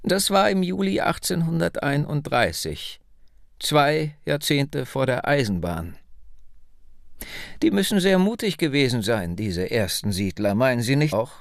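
A man voicing a hundred-year-old woman in an audiobook, wry, insistent and reflective, speaking deliberately and with emphasis. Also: medium pitch, very animated, creaky, dry recording, studio quality. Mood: earnest storytelling.

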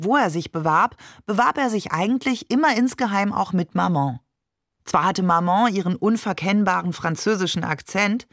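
A woman's voice: on the low side, a bit bright, no room sound, studio quality. A woman reading a literary story as scripted audiobook narration.

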